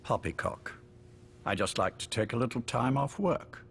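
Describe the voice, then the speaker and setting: An actor playing a courteous but menacing older spymaster in a video game, urbane, measured and wryly polite. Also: male voice, medium pitch, a bit bright, slight background noise, natural voice. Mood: genteel, wry. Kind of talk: dramatic game voice acting.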